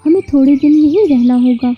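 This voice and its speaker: A woman's voice: sits high, fairly quick, lo-fi sound, faint music behind, close to an everyday voice. A woman voicing a devout, protective young big-sister sparrow in a cartoon, speaking earnestly. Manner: earnest, reassuring.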